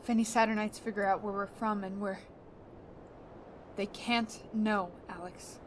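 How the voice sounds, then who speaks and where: A woman's voice: medium pitch, steady tone, unhurried, slight background noise, close to an everyday voice. Woman playing a wary, terse starship captain. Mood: uneasy. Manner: guarded, terse, suspicious. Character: wary, injured, stern woman spaceship captain. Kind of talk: audio drama acting.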